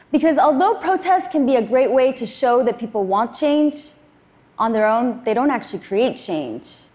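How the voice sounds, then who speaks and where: A woman in her thirties, born in Afghanistan, giving a prepared public talk in a measured, earnest way. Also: on the high side, a little mellow. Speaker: speaker.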